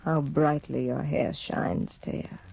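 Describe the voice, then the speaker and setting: Icy, mocking Hedda, slow and husky. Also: female voice, deep voice, steady tone, a little mellow, heavily acted. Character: Hedda, bored cold aristocratic young wife. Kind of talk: 1950 radio drama acting. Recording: dull lo-fi 1950 radio broadcast.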